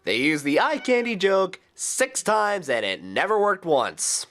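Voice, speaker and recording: Man, scripted review commentary, very lively pitch, bright forceful tone, sarcastic and exasperated. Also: unhurried.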